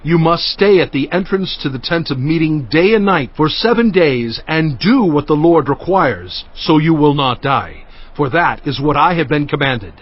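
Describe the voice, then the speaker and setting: A man playing a commanding prophet who gives solemn, deliberate instructions in a dramatized scripture recording. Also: on the high side, expressive, highs cut off.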